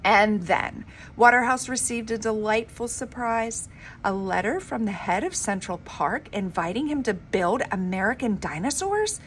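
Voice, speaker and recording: A woman reading a children's storybook aloud like a teacher, with an expressive, emphatic delivery. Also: on the high side, measured pace. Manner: dramatic, emphatic delivery with informal asides. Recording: clearly noisy homemade recording.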